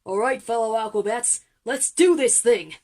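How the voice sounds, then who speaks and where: A high, slightly husky voice from a woman plays a male superhero commander in a children's audio drama. He is boastful and jealous, lecturing with showy emphasis. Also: moderate pace, darkish tone, natural voice. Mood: rallying.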